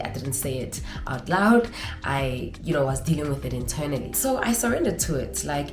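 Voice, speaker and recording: Low-voiced woman, nasal and congested. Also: echoey room. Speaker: vlogger. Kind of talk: casual advice vlog.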